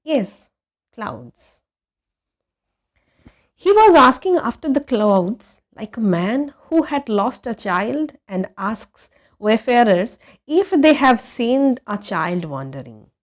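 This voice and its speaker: A woman reading a literary story aloud in an expressive, measured narration with dramatic pauses. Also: a little high. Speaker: audiobook reader.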